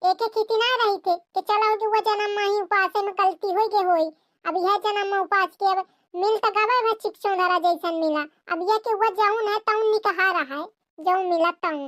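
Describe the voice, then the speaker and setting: A woman's voice: very high-pitched, fairly even intonation, moderate pace, fairly bright tone, no room sound, studio quality. A woman with a Bagheli accent voicing an exasperated married village sister-in-law in a comic cartoon, rambling and complaining dramatically. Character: exasperated, long-suffering married village sister-in-law, grumbling about her husband.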